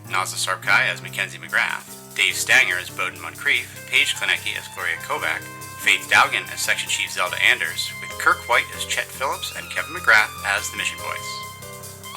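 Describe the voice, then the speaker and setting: A male announcer delivers scripted credits. His voice is fairly low but extremely bright and forceful, over a faint music bed. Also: low-quality recording.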